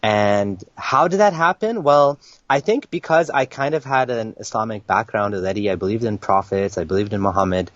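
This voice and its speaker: A man with a lowish voice tells his story in a loose, rambling way, doubling back on his words as he goes. The sound is close and dry, with a slightly dulled top end. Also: normal speed, fairly bright tone, no room sound, dull audio. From Kenya. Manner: rambling, frequent restarts and fillers. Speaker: interview guest. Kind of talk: casual conversational storytelling.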